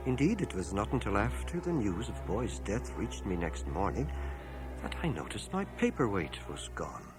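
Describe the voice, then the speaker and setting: Low, expressive gentleman narrator, over music. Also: poor audio. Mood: grave. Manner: literary, measured, expressive. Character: reflective older gentleman narrator recounting his own past. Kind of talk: scripted first-person radio drama narration. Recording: cassette transfer of 1980 radio broadcast.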